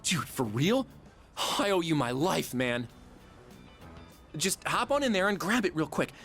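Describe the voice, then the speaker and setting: Animated sheepish teenage slacker. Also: male voice, fairly high pitch, unhurried, heavily acted. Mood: pleading. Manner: rambling, sheepish, slangy. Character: goofy, hapless teenage schoolboy slacker, pleading with a friend. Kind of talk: casual video game dialogue. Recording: music under the voice.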